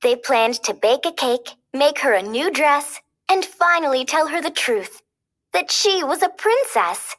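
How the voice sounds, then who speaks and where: A woman reading a children's storybook aloud as a storyteller, expressive and clearly paced. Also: sits high, measured pace.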